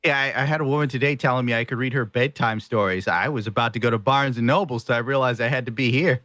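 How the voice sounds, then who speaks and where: A man talks loosely on a hobby podcast, dropping curse words and wry jokes. His voice sits at an ordinary male pitch. The sound is dry, with no room echo. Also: fast talker, a bit bright. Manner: casual, profane, jokey. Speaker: guest painter and video maker. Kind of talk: casual podcast chat. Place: small room.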